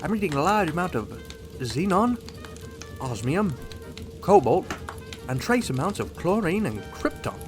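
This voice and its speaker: A man's voice: on the high side, big pitch swings, unhurried, long pauses, music in the background. A man playing a precise, clinical costumed hero doctor in a radio drama. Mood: puzzled, analytical. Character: brainy male costumed hero doctor with a scanning visor. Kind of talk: fan radio drama acting.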